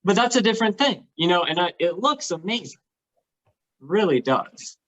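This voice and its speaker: A man's voice: high voice, sing-song, moderate pace, fairly bright tone. A man talking casually and enthusiastically, explaining things as a guest on a talk show. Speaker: guest, LARP participant.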